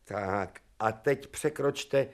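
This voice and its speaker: An actor in a radio play playing an irascible, educated professor, imperious and exasperated in a formal, theatrical way. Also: male voice, lowish voice, natural voice. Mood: weary, exasperated. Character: irascible, educated male professor at wit's end. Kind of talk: theatrical radio drama acting.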